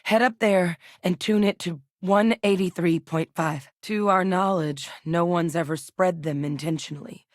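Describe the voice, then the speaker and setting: An actress playing a composed, capable female scientist in a game, speaking in a measured, matter-of-fact, dry way. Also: lowish voice, dry recording, lightly acted. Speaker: scientist working alongside the captain. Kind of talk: game character voice lines.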